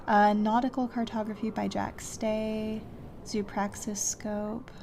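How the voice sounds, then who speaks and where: A woman's voice: mid-range voice, flat delivery, slow pace, gravelly, noisy background. A woman giving casual video game commentary, talking to herself in short reactions as she plays.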